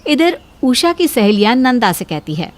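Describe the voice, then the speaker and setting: A woman's voice: a little high, very animated, normal speed. Female narrator for scripted tales, slightly raised register.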